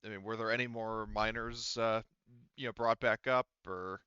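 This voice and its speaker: A man acting as game master, improvising narration for a tabletop roleplaying game with halting, hesitant phrasing. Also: on the low side, steady tone, bright, forceful tone, smooth, no room sound, dull audio.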